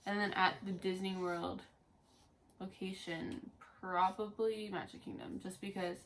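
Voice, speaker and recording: A woman in her early twenties with noticeable vocal fry, chatting off the cuff as a vlog co-host. She rambles loosely with lots of "like", and there is faint noise behind her. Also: medium pitch, little pitch movement, a little slow, rough recording. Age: early 20s. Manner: rambling, frequent "like". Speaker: co-host of a Disney fan vlog. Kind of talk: casual unscripted chat, answering tag questions.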